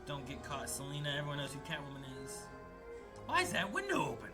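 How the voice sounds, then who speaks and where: A man co-hosting a casual reaction show, excitable and quick to exclaim. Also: fairly high pitch, over music.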